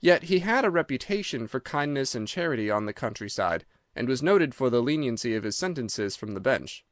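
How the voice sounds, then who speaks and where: A man's voice: medium pitch, sing-song, fairly quick, no room sound, studio quality, natural voice. A man voicing a cerebral, self-possessed detective who recounts his past in articulate, precise detail for an audiobook. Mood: reflective, descriptive. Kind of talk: dramatised audiobook reading.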